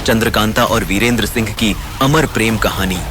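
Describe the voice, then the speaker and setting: A man's voice: on the low side, normal speed. A man reading dramatic, scripted teaser narration. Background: loud music.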